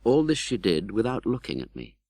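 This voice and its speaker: A British man playing an earnest, tender-hearted gentleman who recounts his own life in a dramatic, measured audiobook narration. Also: lowish voice, huge pitch swings, dry recording, studio quality. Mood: earnest, grave. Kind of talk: dramatic literary audiobook narration in first person.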